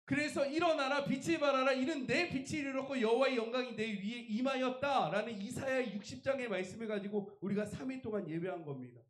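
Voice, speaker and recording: Male pastor, high-pitched voice, emphatic sermon delivery, rhetorical questions, roomy echo. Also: a little fast, few pauses. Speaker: pastor preaching. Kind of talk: Korean church revival sermon.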